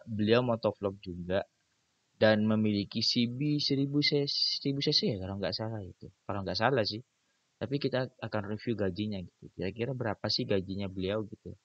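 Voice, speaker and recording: Low-voiced young man, dull recording. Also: no room sound. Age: young adult. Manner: informal, slangy, repeats phrases. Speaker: YouTuber reviewing channel earnings. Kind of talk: casual chatty screen-recording commentary. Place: small room indoors at a computer. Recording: dull, muffled lo-fi sound.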